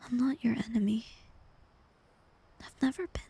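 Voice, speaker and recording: Woman acting a breathy, heartbroken lady bard. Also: on the high side, fairly even intonation, exaggerated voice. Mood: loving, resigned. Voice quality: soft, breathy, whispery. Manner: slow, gentle, wistful delivery with pauses. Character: tender, heartbroken woman bard facing her former lover. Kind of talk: intimate ASMR romantic fantasy audio roleplay.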